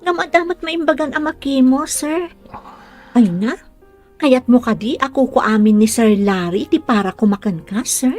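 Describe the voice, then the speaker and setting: A gentle young caregiver in a radio serial. Her voice is high and she speaks respectfully and coaxingly, with music underneath. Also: moderate pace. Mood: pleading, encouraging. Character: gentle, patient, pleading young female caregiver. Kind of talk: radio drama acting.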